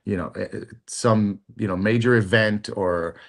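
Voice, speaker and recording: A middle-aged man co-hosting a podcast in casual chat, talking in halting bits with lots of fillers and restarts. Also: fairly low pitch, fairly even intonation, creaky. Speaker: podcast co-host.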